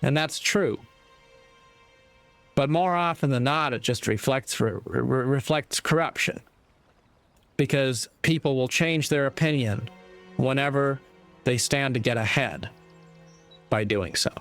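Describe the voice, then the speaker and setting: Slow, deliberate man, smooth voice. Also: medium pitch, a bit bright, faint music behind. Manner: deliberate, measured, pausing between short phrases. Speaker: commentator giving a moral talk. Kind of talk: reflective monologue, sermon-like.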